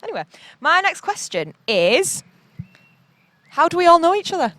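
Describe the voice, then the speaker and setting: A woman's voice: extremely high pitch, big pitch swings, normal speed, a bit bright. A woman from northeast England, chatting in a rambling, upbeat way outdoors. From Durham, northeast England. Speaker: band member asking questions. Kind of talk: casual chat.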